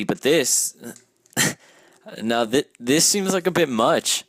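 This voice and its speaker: A man's voice: medium pitch, close to an everyday voice. A young man playing a polite young man who stammers when caught off guard, in a student radio play. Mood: amazed. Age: young adult.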